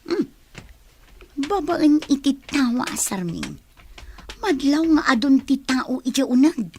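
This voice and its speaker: A woman playing a nosy, fretful sweetheart in an Ilocano comedy radio drama, expressive, with an Ilocano accent. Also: high-pitched, slow, full character voice. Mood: curious, suspicious. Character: worried, nosy woman, schemer's debt-ridden sweetheart.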